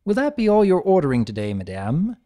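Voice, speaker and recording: A woman's voice: fairly low pitch, wildly swooping pitch, fairly quick, warm tone, voice pushed up. A woman voicing a prim, formal male unicorn waiter with a mustache. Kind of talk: dramatic audiobook reading.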